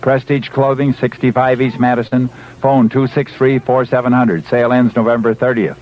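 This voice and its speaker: A male announcer delivers an insistent retail hard sell at medium pitch. The recording is a slightly muffled vintage radio broadcast. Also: steady tone, moderate pace. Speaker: commercial announcer. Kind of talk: 1970s radio retail ad read.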